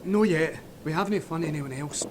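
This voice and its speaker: An actor playing a plucky, stubborn young Glaswegian soldier, gruff and urgent but reassuring, in an audio drama. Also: male voice, on the high side, normal speed, darkish tone, lightly acted. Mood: gruff, steady. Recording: battlefield noise behind.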